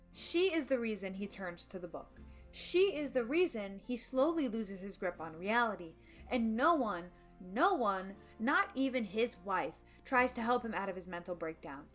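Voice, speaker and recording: High-voiced woman giving an emphatic video essay. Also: fairly bright tone. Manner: emphatic and dramatic, slipping into casual slang. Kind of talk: opinionated video essay commentary on a film. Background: background music.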